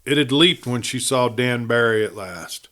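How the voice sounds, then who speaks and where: Measured male western audiobook narrator. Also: mid-range voice, lively intonation, bright, forceful tone. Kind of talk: scripted western story narration.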